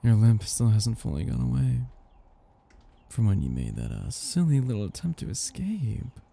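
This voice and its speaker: A man playing a possessive, condescending vampire master who croons and teases slowly in an intimate ASMR roleplay. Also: medium pitch, huge pitch swings, full character voice. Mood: teasing, possessive. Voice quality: husky, gravelly, dark. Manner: slow, crooning, teasing, condescending.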